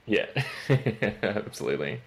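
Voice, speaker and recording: A man's voice: low voice, lively intonation, unhurried, creaky, a bit bright, a little hiss or hum. A young man born in London and raised in Australia, with an Australian accent with a slight British tinge, chatting casually as a guest, laughing often and hesitating with fillers. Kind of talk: casual interview chat.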